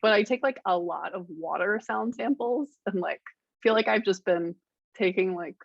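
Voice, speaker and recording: A soft-spoken woman, a musician guest in a casual interview, talking hesitantly with many fillers and restarts. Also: mid-range voice, normal speed, gravelly, dry recording, lo-fi sound. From Richmond, Virginia, United States.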